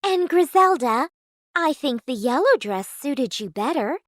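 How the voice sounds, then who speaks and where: A woman playing a gentle, timid, put-upon young heroine in a storybook, polite and wistful. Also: very high voice, big pitch swings, slow pace, no room sound, studio quality, pitched above the performer's own voice, full character voice.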